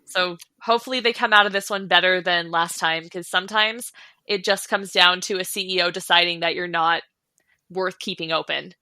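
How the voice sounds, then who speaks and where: A woman's voice: lowish voice, steady tone, normal speed, sharp tone, no room sound. An American woman co-hosting a podcast, explaining industry news in an informal, chatty way. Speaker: podcast co-host. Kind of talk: casual industry chat.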